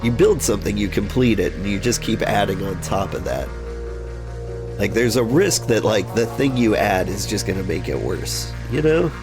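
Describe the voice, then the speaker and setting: A hoarse, gravelly-voiced man chatting casually as he streams a game, rambling and thinking aloud. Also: on the low side, animated, moderate pace, music in the background. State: hoarse from being unwell. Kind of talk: casual gameplay commentary.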